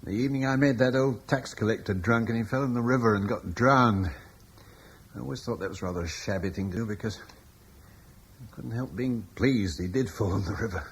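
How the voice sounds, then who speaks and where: An actor playing a humble, devoted soldier husband in a radio drama, plain-spoken and questioning in an understated way. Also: male voice, lowish voice, moderate pace, slight background noise, lightly acted. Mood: contrite.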